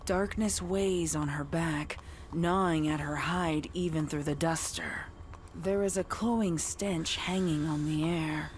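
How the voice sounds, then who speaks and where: A woman reading dark horror fiction as scripted narration. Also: sits low, steady tone, slow, a little hiss or hum.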